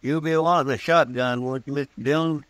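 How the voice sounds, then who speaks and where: An American actor playing a folksy, loyal deputy sidekick in an old radio western, plain and deferential. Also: male voice, mid-range voice, steady tone, fairly quick, fairly bright tone, natural voice. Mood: quietly resolute. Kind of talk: mid-century American radio western acting.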